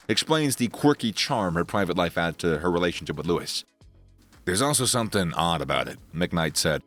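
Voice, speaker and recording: Low-voiced man narrating sports news. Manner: steady read-aloud delivery, reads quotes in the same voice. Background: faint background music.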